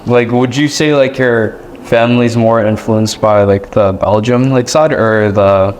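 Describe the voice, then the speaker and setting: A man hosting a casual podcast interview, asking curious, halting questions. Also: medium pitch, fairly even intonation, a little hiss or hum. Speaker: podcast host.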